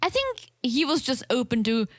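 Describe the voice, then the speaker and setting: Woman cohost chatting about reality tv, kinda high voice, acts out what ppl said, dry close mic. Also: normal speed, no echo. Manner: animated, acts out quotes from the show, frequent restarts. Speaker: podcast co-host. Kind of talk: casual chat reviewing a reality show.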